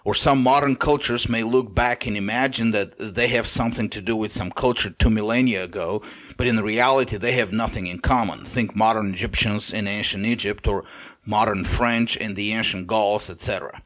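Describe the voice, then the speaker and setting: A man with a Bulgarian accent, whose first language is Bulgarian, hosting a podcast and lecturing in a measured monologue with occasional restarts. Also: on the low side, fairly bright tone. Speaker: podcast host. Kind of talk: monologue commentary.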